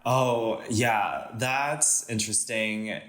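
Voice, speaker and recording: A young man from Panama with a Californian-sounding American accent talks casually as a guest, pausing often and restarting his sentences. His voice sits a little low. The room around him echoes noticeably. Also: fairly bright tone. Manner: hesitant, many fillers and restarts. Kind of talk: casual conversation.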